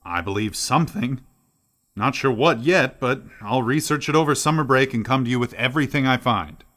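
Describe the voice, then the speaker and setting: An actor playing an earnest, astonished professor in a radio play, full of questions. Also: male voice, medium pitch, moderate pace, a bit bright, lightly acted. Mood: intrigued. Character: earnest, astonished male professor investigating the uncanny.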